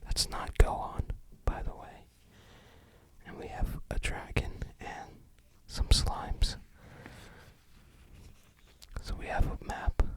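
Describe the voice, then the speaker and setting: An ASMR host narrating an unboxing in a breathy, unvoiced whisper, with gentle, rambling commentary.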